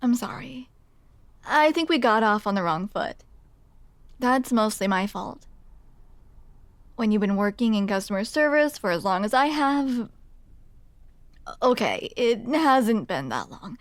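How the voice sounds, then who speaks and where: High-voiced grumpy, flustered barista woman, acted by a woman. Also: very animated, no echo. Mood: apologetic, wry. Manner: sardonic, self-deprecating, awkward, halting. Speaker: ASMR roleplay performer. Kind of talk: ASMR roleplay acting.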